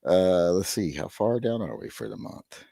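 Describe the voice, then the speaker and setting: A middle-aged man with a hoarse, gravelly voice hosting a rambling live-stream chat with wry, self-deprecating asides. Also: low-pitched, expressive, moderate pace, warm tone, dry recording, clean studio sound. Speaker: host.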